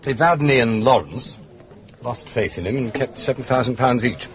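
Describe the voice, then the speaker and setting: An actor playing an urbane, shrewd gentleman detective in a British radio drama, with an upper-class English accent and polished, drily understated manner. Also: mid-range voice, expressive, normal speed, close to an everyday voice. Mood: dryly curious. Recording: muffled, dull radio drama recording.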